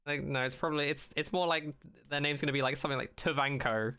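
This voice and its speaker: A man's voice: fairly high pitch, steady tone, quick pace, pushed and bright, clean tone. A man co-hosting a podcast in a casual, informal and rambling way, with a jokey streak. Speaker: podcast co-host. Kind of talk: casual banter.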